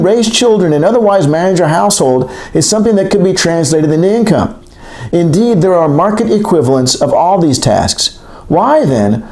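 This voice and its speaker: A man's voice: on the high side, a little mellow. Man reading scholarly text in an echoey room. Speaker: audiobook-style reader of an academic text. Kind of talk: scripted narration, reading an academic chapter aloud. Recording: roomy, echoing voice recording.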